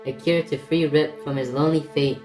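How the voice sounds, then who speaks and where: Narrator, woman, extremely low register, solemn rhythmic verse, storybook feel, music underneath. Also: steady tone, dark tone, natural voice. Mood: solemn storytelling. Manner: measured, rhythmic verse delivery. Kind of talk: scripted rhyming narration.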